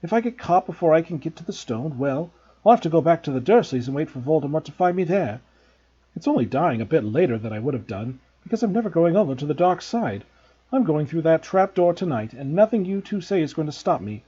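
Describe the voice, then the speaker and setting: A man's voice: fairly high pitch, sing-song, a little fast, warm tone, breathy edge, highs cut off. A man reading an urgent young schoolboy. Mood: defiant. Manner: urgent and earnest.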